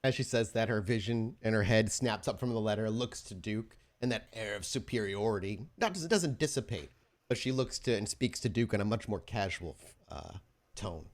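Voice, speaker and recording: Male game storyteller and podcast host, moderately low voice, tabletop horror. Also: moderate pace.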